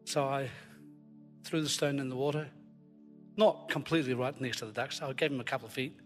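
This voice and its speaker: Scottish preacher in a reverberant hall. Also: male voice, mid-range voice, a little fast, faint music behind. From Scotland. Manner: jokey, self-deprecating storytelling. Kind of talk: informal sermon to a congregation. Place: large hall, live before a congregation. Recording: long hall reverb, live church recording.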